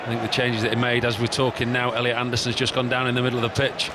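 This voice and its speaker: A man's voice: medium pitch, completely flat delivery, racing. A man giving thoughtful co-commentary on a football match, talking through tactics. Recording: stadium crowd and noise behind.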